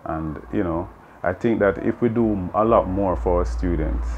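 A man's voice: deep voice, normal speed, darkish tone, lo-fi sound, slight background noise. A Jamaican man in his fifties, a math teacher earnestly explaining his views in an interview, often saying "you know". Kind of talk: interview answers. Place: open veranda outdoors.

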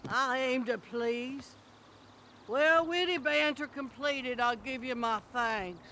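Slow, bright, flirty bounty broker woman. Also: high-pitched, slight background noise, exaggerated voice. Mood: cheerful, flirty. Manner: unhurried, sunny, wordy. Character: folksy, flirty, cheerful bail bond agent woman. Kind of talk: video game cutscene acting.

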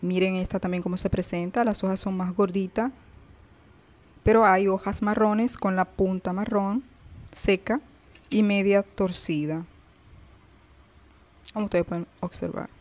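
A woman's voice: mid-range voice, steady tone, measured pace, pauses often, darkish tone. A woman hosting a casual gardening vlog, chatting straight to her viewers and pointing things out as she films her plants outdoors on a balcony. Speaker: gardening vlogger.

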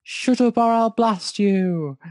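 A man voicing an irritable male robot restaurant boss who is quick to threaten, in a fan fiction reading. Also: sits high, darkish tone, no echo, clean studio sound, pitched above the performer's own voice. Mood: furious.

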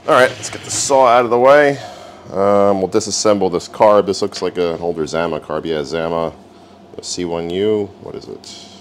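A man's voice: sits low, normal speed, a little hiss or hum. A man hosting a repair video, narrating casually and wryly as he works at a garage bench. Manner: relaxed, wry, talks while working.

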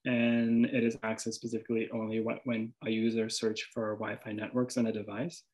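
A man's voice: fairly low pitch, completely flat delivery, a little fast, darkish tone. A man from Venezuela with a Venezuelan accent, an artist presenting his work over slides, hesitant, with many restarts and fillers.

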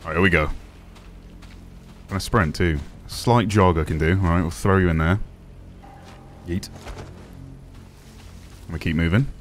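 A young man with a deep voice giving casual gameplay commentary as a streamer, relaxed with excited asides. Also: measured pace, pauses often, a little hiss or hum. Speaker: gaming streamer.

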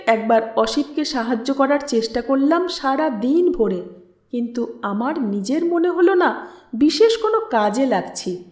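A woman reading a literary story aloud as an audiobook narrator, in an expressive, dramatic way. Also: high voice. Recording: roomy echo on the voice.

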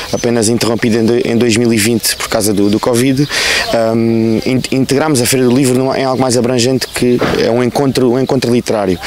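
A man's voice: mid-range voice, a little mellow, slight background noise. A man in his thirties with a European Portuguese accent, a town councillor answering questions outdoors in a park with many fillers and restarts, into a handheld mic. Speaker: councillor for culture. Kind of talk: outdoor news interview. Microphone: handheld reporter mic.

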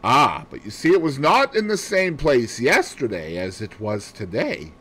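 Man acting a businesslike detective with lively intonation. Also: mid-range voice, husky, full character voice. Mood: assured. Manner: businesslike, deliberate. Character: businesslike, probing male detective, calm and self-assured. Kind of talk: audiobook character voice.

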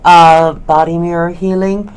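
A woman's voice: fairly low pitch, little pitch movement, a little slow, a little mellow, clean tone. A middle-aged woman hosting a talk show from a small studio, chatty and blunt as she interviews a guest. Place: small studio with dark curtain.

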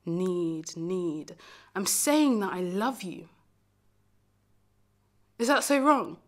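A woman performing a dramatic monologue as a lonely, heartbroken young lover, confessional and emphatic, moving between hushed and forceful delivery. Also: fairly low pitch, measured pace. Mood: indignant, pleading. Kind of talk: dramatic stage monologue, self-taped acting showreel.

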